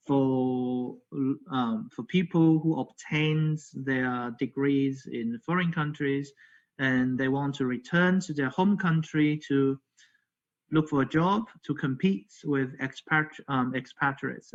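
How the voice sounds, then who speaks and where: A man, an English speaker, giving a conference lecture in a halting way, repeating words and checking in with "okay" and "right". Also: mid-range voice. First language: not English. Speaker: academic presenter, language educator. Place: virtual conference call. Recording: dull, lo-fi online audio.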